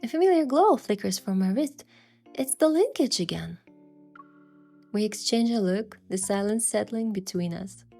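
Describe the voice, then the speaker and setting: Young romance game heroine, soft sincere storytelling, medium pitch, bit of music under it. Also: female voice, lively intonation, normal speed, vocal fry. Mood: reflective narration. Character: young female heroine, sincere, playful, tender, narrating her romance. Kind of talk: scripted first-person story narration and dialogue. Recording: music behind the voice.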